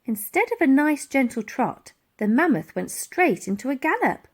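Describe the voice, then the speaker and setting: Animated, high-voiced woman reading a children's story. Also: normal speed. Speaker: audiobook reader.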